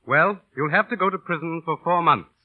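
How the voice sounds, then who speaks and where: An actor in an old radio drama playing a stern but fair magistrate, speaking with formal authority. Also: male voice, fairly high pitch, a little fast, lightly acted. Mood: stern, measured. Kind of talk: 1930s-40s radio drama acting. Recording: old radio broadcast, phone-like narrow band.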